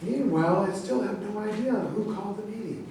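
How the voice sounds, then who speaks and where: A man's voice: sits high, a little fast, dark tone, recorded from a distance, slight background noise, natural voice. A man playing a self-important, argumentative military officer and professor in a staged play reading. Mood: dry, haughty. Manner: argumentative, grandiose. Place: echoey room at a staged reading. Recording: very echoey room recorded from afar.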